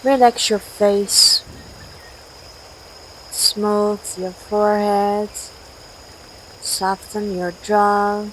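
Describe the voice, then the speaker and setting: A woman guides a sleep meditation very slowly, with gentle, soothing instructions and long pauses. Her voice sits at a medium pitch for a woman. Crickets and outdoor night ambience are heard behind her. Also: poor audio. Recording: steady outdoor nature noise, crickets. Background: cricket and night insect ambience.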